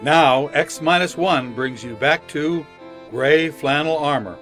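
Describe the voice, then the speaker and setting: A man's voice: fairly high pitch, slow, fairly bright tone, soft background music. A man serving as program announcer, reading scripted introductions in old-time radio style.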